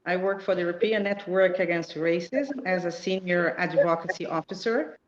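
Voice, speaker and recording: A woman's voice: lowish voice, normal speed. Woman from Brazil, echoey dull call. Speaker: panel guest, advocacy officer. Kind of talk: self-introduction on a panel. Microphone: video call.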